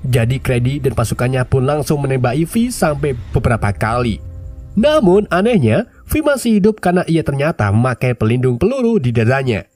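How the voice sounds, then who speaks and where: A man's voice: mid-range voice, big pitch swings, brisk, a little mellow. A man narrating a film recap in a casual scripted style. His voice is stuffy and nasal from a cold.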